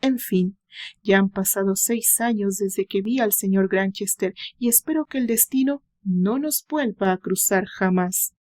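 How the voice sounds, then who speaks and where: A woman's voice: medium pitch, a little slow, mellow, close to an everyday voice. A woman voicing a resentful, sorrowful young man in an audio novel monologue. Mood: resigned, reflective. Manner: plaintive, sorrowful. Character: wounded, resentful young man recalling his absent father.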